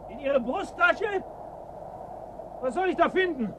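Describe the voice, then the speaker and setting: A man's voice: extremely high pitch, lively intonation, takes it slowly, background noise, exaggerated voice. An actor playing a blunt, distrustful working man in a radio drama, curt and sardonic in manner. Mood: suspicious. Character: gruff, suspicious, blunt working man of a frozen settlement. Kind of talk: German radio play acting.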